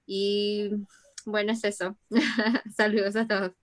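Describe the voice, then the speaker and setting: A woman's voice: medium pitch, takes it slowly. Brazilian woman talking spanish on a panel, chill, lots of ehs and little laughs. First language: Portuguese. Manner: relaxed, laughs easily, many fillers. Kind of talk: casual panel talk.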